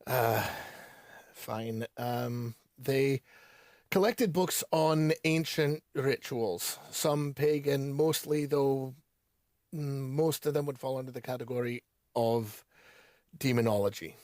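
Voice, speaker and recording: A man's voice: mid-range voice, a little mellow, no room sound, clean studio sound, lightly acted. Man acting guarded, reluctant small-town bookseller. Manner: measured, formal wording, hesitant, reticent. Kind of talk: audio drama, naturalistic recorded interview.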